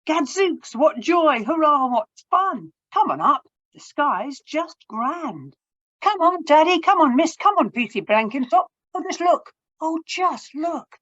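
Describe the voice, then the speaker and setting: A woman's voice: high voice, big pitch swings, slow, fairly bright tone, no echo, highs cut off, voice pushed up, full character voice. A woman voicing joyful little children in a read-aloud storybook, exclaiming in a raised, gleeful voice. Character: excited flying young children, joyful.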